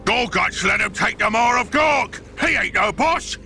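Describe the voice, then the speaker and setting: A rowdy, dim ork grunt from a video game. He yells in a high, raspy Cockney growl with lively swoops, over music. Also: a little slow. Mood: rowdy, defiant. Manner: yelling crudely. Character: rowdy ork underling, dim, excitable and mutinous. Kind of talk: video game character acting.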